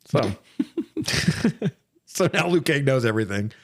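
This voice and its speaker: A man co-hosting a casual movie review, talking in a slangy, sweary, animated way with comic exasperation. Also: mid-range voice, takes it slowly. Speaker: podcast co-host reviewing movies. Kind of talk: casual profane comedic movie recap chat.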